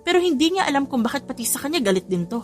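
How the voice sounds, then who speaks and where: A woman's voice: high-pitched, very animated, racing. A woman giving a scripted, dramatic narration of a story as an audiobook narrator. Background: background music.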